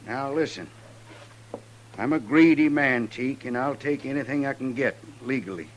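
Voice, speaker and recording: An American man playing a blunt, greedy land grabber in an old-time radio western, speaking deliberately. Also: medium pitch, natural voice. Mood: grave, confessing. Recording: old radio recording with hum and hiss.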